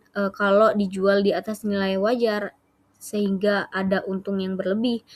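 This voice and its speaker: Casting: young adult female, mid-range voice, student presenter explaining coursework, slightly halting. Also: mid-range voice. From Indonesia.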